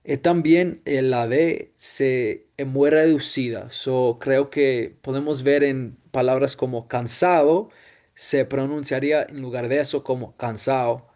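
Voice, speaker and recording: Slow, hesitant young man presenting. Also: mid-range voice, steady tone, darkish tone. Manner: hesitant, many fillers and restarts; mixes English "so" and "ok" into his talk. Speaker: student presenter. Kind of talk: class presentation.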